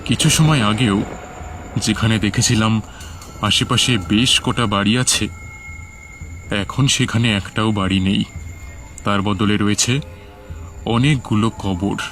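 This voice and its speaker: A man's voice: lowish voice, fairly even intonation, a little slow, pauses often, fairly bright tone. A man narrating a scripted first-person horror story in a dramatic, suspenseful manner. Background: music under the voice.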